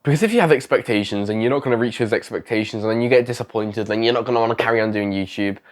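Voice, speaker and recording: A man's voice: on the low side, very fast. A young man with a sick, failing voice giving rambling, self-correcting advice on his vlog. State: sick, voice going. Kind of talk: casual talking-head advice vlog.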